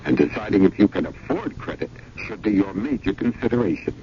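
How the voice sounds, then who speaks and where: A man's voice: deep voice, animated, a little fast. A man reading scripted consumer advice as a public service announcer, emphatic and instructive. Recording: noisy, muffled old radio broadcast.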